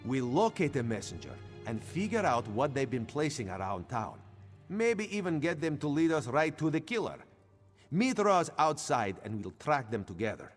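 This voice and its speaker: A man's voice: mid-range voice, very animated, moderate pace. A man voicing a sly third-person cat-folk thief. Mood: scheming. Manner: speaks of himself in third person. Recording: game audio over faint music.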